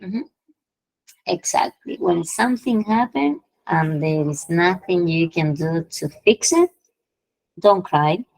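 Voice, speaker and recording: A woman's voice: fairly low pitch, expressive, slow pace, gravelly, dry recording, poor audio. A woman teaching English idioms in an online class, explaining patiently, repeating phrases and checking in with her students. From Peru.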